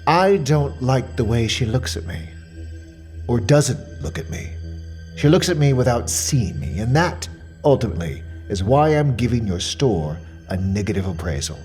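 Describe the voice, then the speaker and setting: A fussy, fixated mystery shopper in an audio drama monologue. His mid-pitched voice swings dramatically up and down as he dwells pompously on each precise word, with quiet menace underneath. Music plays behind him. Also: wildly swooping pitch, moderate pace. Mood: wounded, ominous. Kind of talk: dramatic monologue as a spoken questionnaire report.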